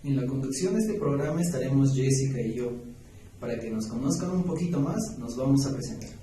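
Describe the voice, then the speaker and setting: A young man of twenty with a southern Peruvian accent presents a podcast from a script. His tone is very mellow. He sounds a little far from the mic, in a strongly reverberant room. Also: medium pitch, expressive, fairly quick. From Juliaca, Puno, Peru. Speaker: podcast co-host. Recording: echoey room, distant mic, faint noise.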